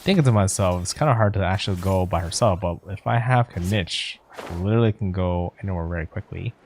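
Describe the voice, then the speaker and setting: A man's voice: deep voice, fast talker, a little mellow, slight background noise. A man streaming a video game, chatting casually and thinking aloud, with game music in the background. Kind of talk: casual let's play commentary.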